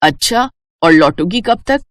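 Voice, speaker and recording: A woman's voice: lowish voice, lively intonation, unhurried, no echo. A woman voicing a proud older Indian mother-in-law, boastful and probing, in a moral story. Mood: questioning. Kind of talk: animated Hindi moral story dialogue.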